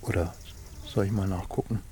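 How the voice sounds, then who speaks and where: An actor as an easygoing village man with a lowish voice. He talks in loose, halting, colloquial phrases, gently reassuring, in a natural radio play scene with faint outdoor noise and insects. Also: steady tone, lightly acted.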